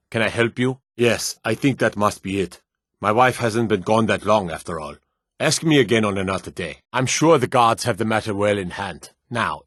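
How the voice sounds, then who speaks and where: An actor playing a stern, world-weary male town guard in a fantasy video game, speaking in a terse, gruff manner. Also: lowish voice, dry recording, clean studio sound. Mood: formal, guarded. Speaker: game character voice.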